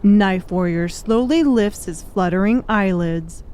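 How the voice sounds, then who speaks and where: A woman's voice: mid-range voice, a little slow, warm tone, smooth. A woman reading scripted, dramatic audiobook narration.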